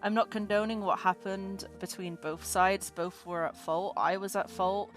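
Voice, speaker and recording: A woman's voice: mid-range voice, fairly even intonation, normal speed, soft background music. A woman hosting a casual update video, sick and a bit scratchy, talking in an earnest, reflective way. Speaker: channel host.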